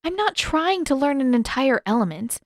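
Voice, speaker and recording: A woman voicing an impatient, playful teenage girl with an expressive, informal delivery, as in an audiobook drama. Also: high-pitched, moderate pace, no echo, clean studio sound, pitched above the performer's own voice. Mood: frustrated, whiny. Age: teenage character voiced by adult.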